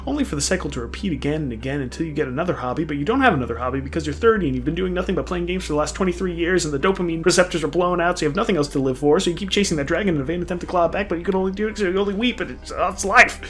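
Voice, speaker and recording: A man's voice: on the high side, a bit bright. A man delivering comedic scripted narration in a sardonic, mock-grand manner, tossing in irreverent asides. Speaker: narrator of a comedic fan lore video. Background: background music.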